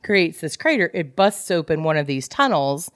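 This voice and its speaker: A woman's voice: deep voice, sing-song, moderate pace, no room sound, studio quality. A woman co-hosting a book club podcast, casually retelling a plot in a jokey, salty-tongued way. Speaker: podcast co-host.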